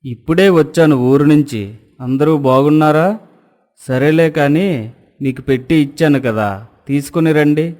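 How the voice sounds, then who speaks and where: A man playing an honest, earnest and respectful villager in an animated moral story. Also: on the high side, a little slow, long pauses, lightly acted. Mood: friendly.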